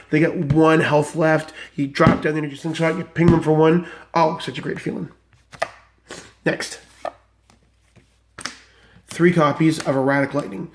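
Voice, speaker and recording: Enthusiastic male deck reviewer, slightly high voice, still recovering from illness, chatty and rambling. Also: slight background noise. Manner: enthusiastic, rambling with many fillers. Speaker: card game deck reviewer. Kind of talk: casual deck walkthrough.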